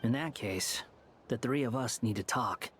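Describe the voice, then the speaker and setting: An actor playing an arrogant, sardonic young puppet scholar in a video game, with a dry, condescending manner. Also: male voice, mid-range voice, a little slow, fairly bright tone, in a large hall, slight background noise, close to an everyday voice. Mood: guarded, serious.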